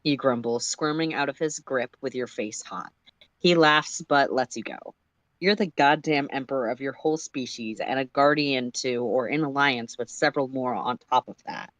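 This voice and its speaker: A mid-pitched narrator reads fiction aloud for a livestream, expressive and informal. The sound is dry and close, with little room in it, and the delivery drifts between storytelling and offhand self-correction. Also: moderate pace, no echo. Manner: animated second-person storytelling, stumbles and self-corrects. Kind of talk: expressive story reading with casual asides.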